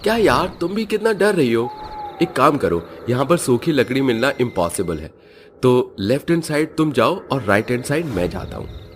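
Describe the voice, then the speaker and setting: Man putting on a breezy young man, raised pitch. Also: sing-song, normal speed, a little hiss or hum, lightly acted. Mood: teasing. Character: casual, reassuring young man on a road trip. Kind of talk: animated horror story voice acting.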